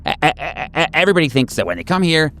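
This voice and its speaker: A jittery, stuffed-up asylum patient, acted by a man at a game table in horror roleplay. He stammers, repeats himself eagerly and swoops up in pitch, with music underneath. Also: big pitch swings, quick pace, fairly bright tone. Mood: anxious, earnest. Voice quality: congested-sounding.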